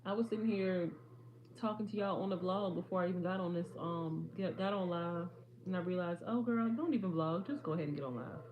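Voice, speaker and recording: Chatty slightly hoarse woman. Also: lowish voice, brisk, lo-fi sound. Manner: chatty, rambling, addresses viewers as y'all. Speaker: vlogger. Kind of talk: casual livestream chat.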